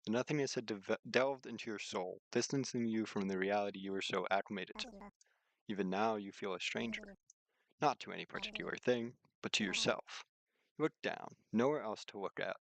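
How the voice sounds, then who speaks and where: A man's voice: on the low side, rough recording. A man reading a visual novel aloud as a streamer, mixing narration with casual commentary.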